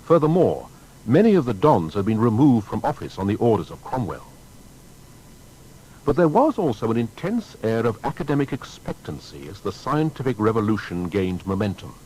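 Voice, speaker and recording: A man narrating a scripted documentary biography in a formal, measured storytelling manner. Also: fairly low pitch, very animated, mellow, slightly rough, poor audio.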